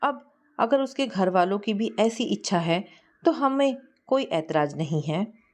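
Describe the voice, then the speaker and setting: A woman's voice: medium pitch, lively intonation, measured pace, close to an everyday voice. A woman narrator voicing a persuasive older mother who reasons with her son and presses him to marry. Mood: coaxing. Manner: coaxing, reasoning.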